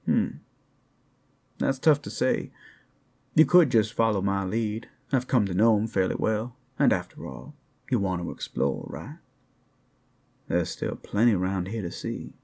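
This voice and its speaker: A man playing a flirtatious, rowdy but friendly werewolf in an ASMR roleplay, with a gravelly, husky voice and a folksy, teasing drawl. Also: lowish voice, a little mellow, full character voice.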